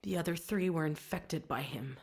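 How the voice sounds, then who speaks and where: A woman's voice: sits low, moderate pace, very noisy. A woman acting a driven, stubborn female soldier in a video game, with a terse, brooding delivery as if thinking aloud. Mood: tense, pondering.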